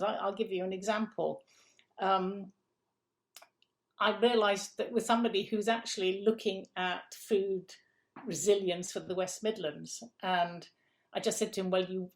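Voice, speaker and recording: A middle-aged woman from England, a guest in an interview, explaining food systems step by step with emphatic repeats. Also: medium pitch.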